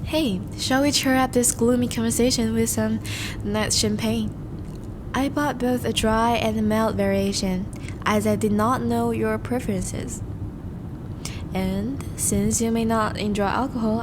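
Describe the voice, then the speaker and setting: A woman playing a charming, once-noble vampire in a romantic audio roleplay, speaking formally and intimately to the listener, with a soft, hesitant manner. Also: a little high, fairly even intonation, moderate pace, background noise, natural voice. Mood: gracious, flirtatious. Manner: formal, courteous, hesitant, soft-spoken confessions.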